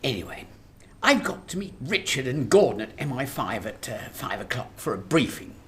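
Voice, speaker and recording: A woman acting an embattled, weary Prime Minister in a radio drama, expressive. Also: a bass voice, moderate pace, exaggerated voice.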